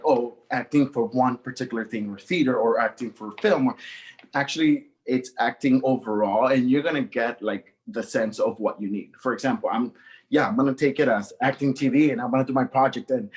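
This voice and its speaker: Upbeat fast-talking young man. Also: mid-range voice. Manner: upbeat, talks fast and excited. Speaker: student news senior. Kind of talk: casual interview answer.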